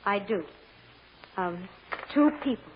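An actress playing an earnest, deferential hotel chambermaid on the witness stand in a radio courtroom drama, answering in a hesitant, plain-spoken way. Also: female voice, mid-range voice, lively intonation, slow. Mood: nervous, dutiful. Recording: muffled vintage radio recording with clear noise.

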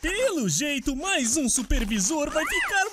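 A woman's voice: high voice, wildly swooping pitch, measured pace, heavy background noise, full character voice. A woman voicing an exasperated, sarcastic childlike cartoon creature, grumbling dramatically. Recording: loud cartoon music behind.

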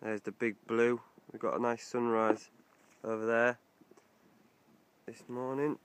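A man's voice: mid-range voice, flat delivery, a little slow, smooth. A young man talking casually to a handheld phone while wild camping outdoors, chatty and swearing now and then. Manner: chatty, swearing, laughs easily. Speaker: vlogger, wild camper. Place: outdoors on a coastal hillside.

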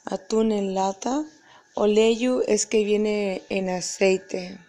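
Tired, soft-spoken woman vlogging from a hotel. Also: medium pitch, steady tone, takes it slowly. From Peru. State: tired, voice going. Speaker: pilgrim. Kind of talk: casual vlog. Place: hotel room, indoors. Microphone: phone camera at arm's length.

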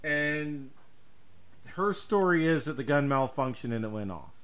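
A man's voice: a little high, expressive, measured pace, a bit bright. A man hosting a casual podcast conversation, speaking emphatically and repeating himself to drive points home. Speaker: podcast host.

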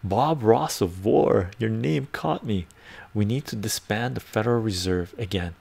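An older man hosts a live stream from his book-lined home study on a lapel mic. His gravelly voice sits on the low side, and he talks emphatically, repeats himself and keeps asking "right?", sometimes sinking to a soft whisper. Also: unhurried. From born in Iran, raised in western Canada. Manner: emphatic, repetitive, asks "right?" often, some soft whispering. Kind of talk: casual live stream talk reading chat.

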